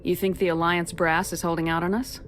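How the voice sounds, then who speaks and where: Actress as composed, low-voiced military commander. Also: female voice, little pitch movement, brisk, soft background music, lightly acted. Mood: probing. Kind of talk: video game dialogue.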